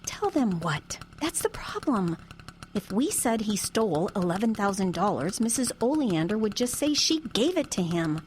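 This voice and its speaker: A woman's voice: mid-range voice, very animated, moderate pace, a little mellow, slight background noise, close to an everyday voice. A woman playing an exasperated, earnest grown daughter and reluctant amateur sleuth in a comedic audio drama, flustered and hesitant. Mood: worried, flustered.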